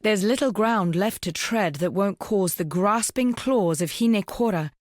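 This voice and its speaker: An actress voicing a grave, resolute young woman rebel in a fantasy video game, speaking in a measured, solemn storytelling way. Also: medium pitch, no echo, studio quality, natural voice. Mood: weary, foreboding. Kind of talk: dramatic scripted video game dialogue.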